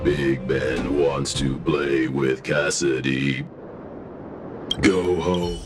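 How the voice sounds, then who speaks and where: A man voicing a big, gentle, homesick robot in a game, speaking in stilted, simple phrases with a robotic sound. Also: little pitch movement, very slow, over loud music, heavily acted. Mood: homesick, plain. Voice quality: deep robotic voice.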